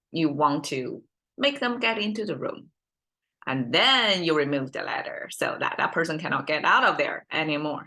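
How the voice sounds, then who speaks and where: A woman, a Mandarin speaker, hosting a language lesson in casual, thoughtful speech, pausing often with fillers and restarts. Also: lowish voice, pushed and bright. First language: Mandarin Chinese. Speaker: host and language teacher. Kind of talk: casual teaching monologue.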